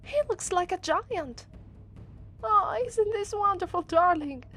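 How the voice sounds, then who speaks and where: A woman's voice: extremely high pitch, animated, slow, a bit bright, faint music behind, exaggerated voice. A woman with an Italian accent voicing an affectionate, cheerful young demon princess bride in an ASMR roleplay. She giggles often and gets flustered easily. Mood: excited. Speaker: voice actress.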